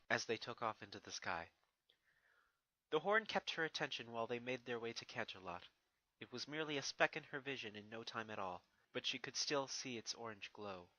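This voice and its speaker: A man narrates a story in a bright, forward voice with a mid-range pitch. The recording is close and dry, and he reads as a storyteller working from a script. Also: no room sound, poor audio. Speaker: fan fiction narrator.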